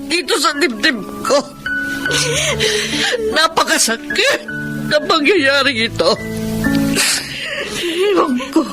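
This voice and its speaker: Radio drama mother: high-voiced Filipina, anguished and reproachful, dramatic music underneath. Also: lively intonation, slow pace, pauses often.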